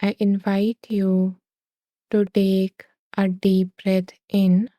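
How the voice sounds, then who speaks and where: A woman leading a guided meditation in a slow, soothing way, with long gentle pauses. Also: mid-range voice, little pitch movement, no room sound, clean studio sound.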